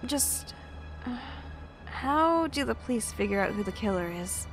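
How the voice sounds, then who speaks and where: A woman's voice: on the high side, expressive, a little slow, over music. A woman playing a friendly but anxious young commuter in an audio drama, earnest and a little hesitant. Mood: uneasy, hesitant. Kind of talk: naturalistic audio drama acting.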